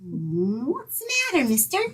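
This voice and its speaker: A woman's voice: a little high, huge pitch swings, normal speed, breathy edge, exaggerated voice. A woman playing an eager, chatty little neighborhood girl in a radio comedy, with a childlike wheedling way of asking "mister?". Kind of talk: 1940s radio acting.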